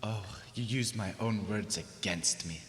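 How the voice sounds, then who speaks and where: A man playing an anguished, frightened old miser, halting and pleading in a radio drama. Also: on the low side, close to an everyday voice. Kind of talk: school radio drama acting. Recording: echoey hall, slight noise.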